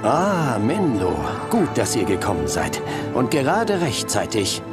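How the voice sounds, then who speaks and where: A man's voice: mid-range voice, huge pitch swings, unhurried. A man playing a grave, authoritative mentor in a game cutscene, earnest and commanding. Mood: composed, resolute. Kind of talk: dramatic game cutscene acting. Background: game music.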